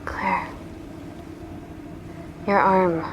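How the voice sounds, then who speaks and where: A woman's voice: fairly low pitch, level tone, extremely slow, dull audio, noisy background. Principled, conflicted woman scientist. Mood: grave, reluctant. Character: conflicted young female scientist trapped in lockdown, principled. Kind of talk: naturalistic film drama acting.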